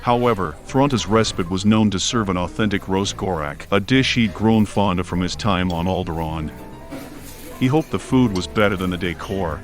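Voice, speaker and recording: A man narrates a story in a fairly low voice. It is a scripted audiobook reading, with faint music behind the words.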